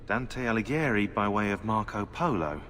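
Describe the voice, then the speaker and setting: Bookish researcher, reverberant hall. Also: male voice, lowish voice, animated, normal speed, fairly bright tone. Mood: eager speculation. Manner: conversational, explanatory, speculating. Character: bookish, curious male researcher, eager history theorist. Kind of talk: video game cutscene dialogue. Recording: reverberant hall, faint background noise.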